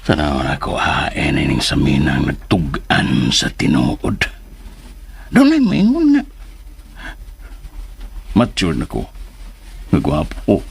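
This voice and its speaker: An actor playing a bossy, vain, quick-tempered household boss in a comedic radio drama, with an exclamatory, exaggerated delivery. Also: rumbling low, wildly swooping pitch, gravelly, breathy edge, full character voice. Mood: irritated, bossy.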